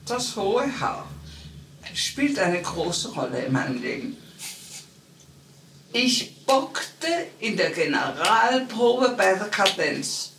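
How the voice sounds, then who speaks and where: A woman, a retired singer telling anecdotes with dramatic emphasis in an interview. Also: mid-range voice. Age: 90s. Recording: roomy, distant, noisy archive interview tape.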